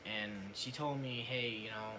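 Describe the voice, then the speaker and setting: A man's voice: medium pitch, steady tone, a little slow. A young man casually telling a personal story to camera in a conversational way, often repeating his words as he goes. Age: young adult. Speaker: family vlogger. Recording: noisy home recording.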